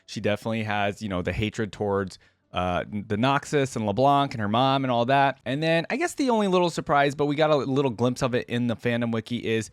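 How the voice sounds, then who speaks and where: A man's voice: mid-range voice, expressive, brisk, clear, resonant tone, no echo, studio quality. A man hosting a reaction video, giving informal, rambling commentary.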